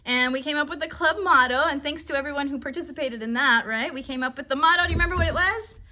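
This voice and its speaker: A woman's voice: high-pitched, fast, pushed and bright. A woman hosting a casual live puppet show for children in a friendly, encouraging, upbeat way.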